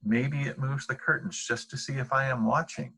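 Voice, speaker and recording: A man's voice: mid-range voice, steady tone, moderate pace, pushed and bright, slightly rough. A man reading the part of an anxious, imaginative little girl in a bedtime storybook, worried and inquisitive.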